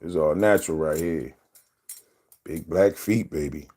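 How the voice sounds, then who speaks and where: A man in his thirties with a deep voice, telling loose, rambling stories to his camera as a casual vlog and laughing often. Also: a little slow, mellow. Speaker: vlogger.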